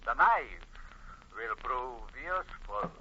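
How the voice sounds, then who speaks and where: An actor playing a cruel, gloating villain in an old-time radio horror play, menacing and deliberate. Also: male voice, mid-range voice, very animated, heavy background noise. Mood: gloating menace. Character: cruel gloating villain foretelling a victim's madness. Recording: muffled old radio, music behind.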